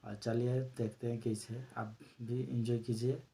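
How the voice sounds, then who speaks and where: A man's voice: medium pitch, creaky. A man hosting his own channel, chatting casually to viewers and explaining a recorded call. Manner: relaxed, explains slowly with fillers. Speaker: channel host.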